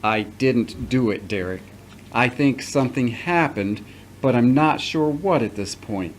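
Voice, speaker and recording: An actor playing a patient, fatherly middle-aged priest in an audio drama, speaking in a measured, formal way. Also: medium pitch, lively intonation, natural voice. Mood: firm, earnest. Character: returning priest, patient, paternal, earnest, formerly accused. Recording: noticeable background noise.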